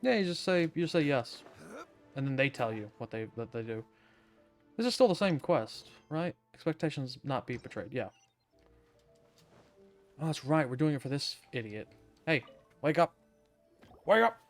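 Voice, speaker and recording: Wry, offhand male gamer with medium pitch. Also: animated, normal speed, long pauses, soft background music. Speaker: video game streamer. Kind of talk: casual gameplay commentary.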